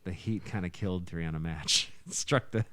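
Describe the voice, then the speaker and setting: A man's voice: sits low, normal speed, fairly bright tone, no room sound. A middle-aged man, a musician and podcast guest, telling stories in a casual, talkative, rambling way. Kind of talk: casual chat, storytelling.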